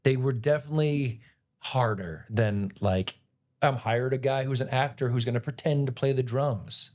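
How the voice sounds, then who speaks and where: Raspy-voiced male actor, chatty guest spinning a story, loose and animated. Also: medium pitch, normal speed. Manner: animated, rambling retelling with many "like"s. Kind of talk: casual storytelling interview.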